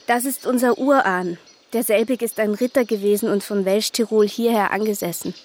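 A woman's voice: medium pitch, normal speed, close to an everyday voice. An actress playing an open, artless young country girl in a radio play, proud of her family, with an Austrian accent and rustic, plain-spoken phrasing. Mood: plain pride.

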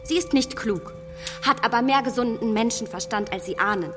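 An actress playing a clever, headstrong young matchmaker in a radio play, arguing in a spirited, defiant way. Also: female voice, a little high, moderate pace, big-room sound, over music, close to an everyday voice.

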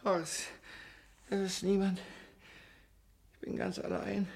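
High-pitched, frantic old miser. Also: male voice, level tone, slow, gravelly, heavily acted. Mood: distraught, muttering. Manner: stammering, wailing, ranting. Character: frantic miserly old master robbed of his money. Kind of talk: classic German radio play acting.

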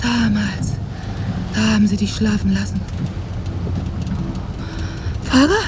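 A woman's voice: mid-range voice, takes it slowly, noisy background, natural voice. A woman acting an exhausted, haunted musician in a radio drama, murmuring a fragmented inner monologue. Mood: dazed, urgent. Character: exhausted, haunted woman pianist, drifting between sleep and memory.

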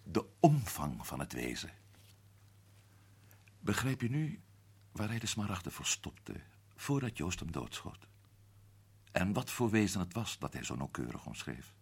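A man playing a shrewd man explaining the solution of a mystery in a radio play, husky and measured. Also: deep voice, big pitch swings, heavily acted. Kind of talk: radio drama acting.

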